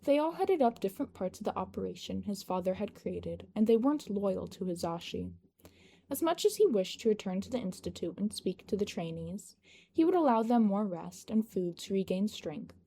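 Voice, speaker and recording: A woman's voice: mid-range voice, sing-song, moderate pace, dark tone. A woman reading a scripted fan-fiction story aloud as its narrator. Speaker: podfic narrator. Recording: noticeable background noise.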